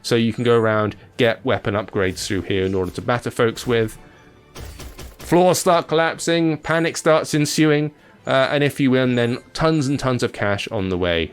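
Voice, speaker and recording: A man gives casual commentary over gameplay as a games presenter. His voice sits at an average pitch, and his delivery is chatty and informal, peppered with slang. Music plays faintly behind him. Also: normal speed, smooth.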